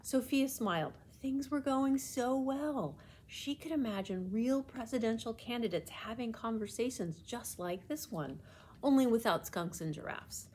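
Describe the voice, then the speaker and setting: A woman reading a children's storybook aloud in an expressive, deliberate way with emphasis. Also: a little high, slight background noise.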